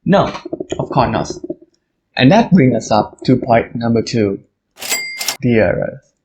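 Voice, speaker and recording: A man's voice: mid-range voice, lively intonation, a little slow, a little mellow, highs cut off, slight background noise. Thai man explaining language learning. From Thailand. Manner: earnest, explanatory, talks straight to listeners. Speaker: podcast host. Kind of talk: solo podcast monologue on language learning.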